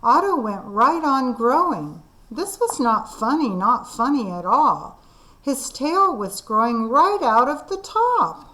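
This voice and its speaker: Slow expressive woman reading aloud. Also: high voice, poor audio. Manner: expressive, deliberate. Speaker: kindergarten teacher. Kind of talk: storybook read-aloud.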